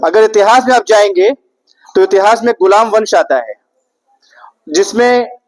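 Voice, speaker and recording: Man, young adult, high pitch, public speech, rhetorical, repetitive, questioning the audience. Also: rough recording. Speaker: speaker at an Independence Day event.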